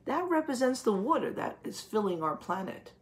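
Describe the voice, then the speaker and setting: A woman teacher reading a picture book aloud to young children, explaining as she goes and pausing to ask questions and confirm answers. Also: on the high side, sing-song.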